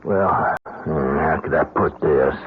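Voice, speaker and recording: A man's voice: extremely low pitch, takes it slowly, husky, full character voice. A man playing a folksy longtime hired hand in an old radio drama, stammering and deferential. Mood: hesitant, roundabout. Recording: tinny old radio broadcast.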